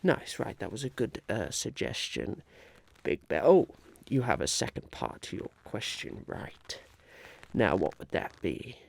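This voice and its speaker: A man playing a polite, harried would-be businessman chairing a meeting in a soft-spoken, halting ASMR roleplay. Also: on the low side, wildly swooping pitch, gravelly, full character voice. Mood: patient, bemused. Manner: hesitant, halting, politely patient.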